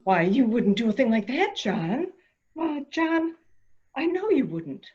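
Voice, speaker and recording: A woman playing a calculating, measured woman who coaxes a dangerous childlike man in an amateur mystery play. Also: on the high side, big pitch swings, darkish tone, lo-fi sound. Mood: nervous, soothing. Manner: coaxing, measured, deliberate. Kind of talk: amateur radio-play acting.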